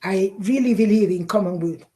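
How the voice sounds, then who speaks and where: A middle-aged woman from Mexico, a conservation leader speaking English, passionate and halting with fillers and repeats. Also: mid-range voice, warm tone, husky. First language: Spanish. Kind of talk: interview answers.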